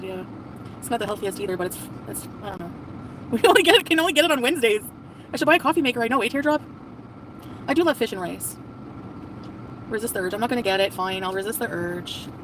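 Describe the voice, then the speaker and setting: Brief: woman, 40s, ranting streamer, somewhat high voice, off-mic, with heavy traffic noise around. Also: recorded from a distance. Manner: complaining, ranting. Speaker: livestreamer. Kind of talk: live-stream rant.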